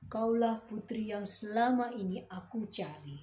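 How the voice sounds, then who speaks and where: A woman's voice: mid-range voice, expressive, a little slow, warm tone, roomy sound, natural voice. A woman doing an eager young prince. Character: young polite male prince.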